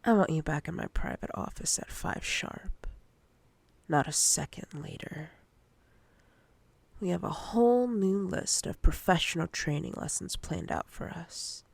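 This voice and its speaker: A woman playing a dominant, possessive female boss in roleplay ASMR, commanding and teasing in a breathy, often whispered voice. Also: on the low side, animated, dry recording, full character voice. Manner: slow, deliberate, commanding.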